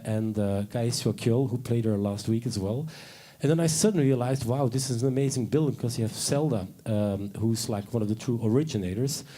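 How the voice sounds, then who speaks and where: A man's voice: mid-range voice, warm tone. A man moderating a live panel talk in front of an audience, rambling eagerly with many fillers and self-corrections.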